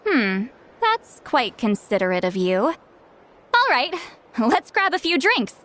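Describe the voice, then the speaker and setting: A woman's voice: high-pitched, big pitch swings, takes it slowly, a bit bright, slight background noise, full character voice. Sarcastic ancient shaman girl. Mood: sardonic. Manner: sarcastic, teasing, dismissive. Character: sharp-tongued centuries-old female shaman, haughty and lazy. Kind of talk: game cutscene voice acting.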